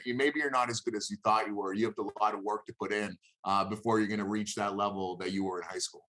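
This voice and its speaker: A man in his thirties whose first language is Hebrew, answering interview questions in a halting, conversational way, repeating words as he thinks aloud. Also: on the low side, a bit bright. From born in Israel, lived there until seven. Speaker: interview guest, former college wrestler.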